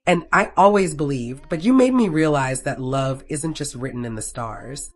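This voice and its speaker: A narrator voicing a warm, ambitious young woman in love in a romance story. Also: mid-range voice, animated, normal speed, lightly acted. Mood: tender.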